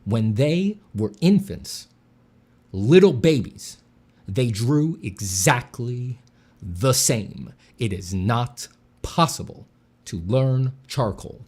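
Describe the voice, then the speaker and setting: A man streaming while he draws, chatting casually with dry deadpan jokes and a slight slur from a sore mouth. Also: medium pitch, takes it slowly, husky. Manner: dry deadpan joking, relaxed and rambling. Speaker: artist streaming a drawing session. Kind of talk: casual livestream chat.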